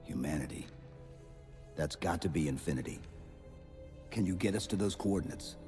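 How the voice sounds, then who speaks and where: An actor playing a stoic, terse armored super-soldier in a video game, commanding and restrained. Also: male voice, low-pitched, expressive, moderate pace. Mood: urgent, commanding. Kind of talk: video game cutscene acting. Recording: loud game music behind.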